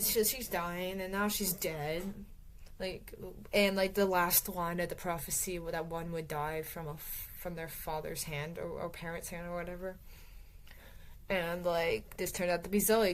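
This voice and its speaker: A woman's voice: on the low side, slight background noise. A woman casually recapping a novel for her book review channel, rambling with lots of fillers and restarts, her voice growing tired. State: voice getting tired.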